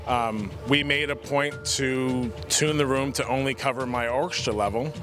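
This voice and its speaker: Male technical director, mid-pitched, earnest and informal testimonial read as natural interview talk, music clearly underneath. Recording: music playing behind the voice. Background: background music.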